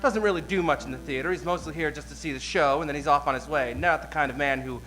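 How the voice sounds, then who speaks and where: High-ish, confiding flirty bartender. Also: male voice, fast, bright, forceful tone, faint music behind, low-quality recording. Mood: confiding, suggestive. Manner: coy, confiding. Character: gossipy, insinuating flirtatious male bartender. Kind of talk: old-time radio comedy acting.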